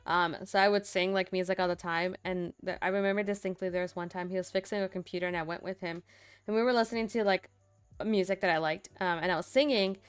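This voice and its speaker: A young woman streamer in casual chat at home, talkative and laughing often, acting out quotes in a higher voice. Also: steady tone, fast, clean tone, no room sound, faint music behind. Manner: chatty, laughs often, acts out quotes in a high voice. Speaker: streamer host. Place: home room.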